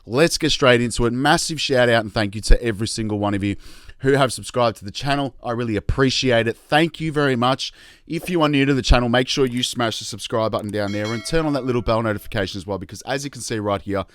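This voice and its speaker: An Australian man with a tired voice that is starting to go, talking in a chatty, excitable vlog style. His pitch sits in an ordinary middle range, and the recording is close and dry with almost no room sound. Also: quick pace, no room sound. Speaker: crypto YouTube host. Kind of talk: casual investing vlog chat.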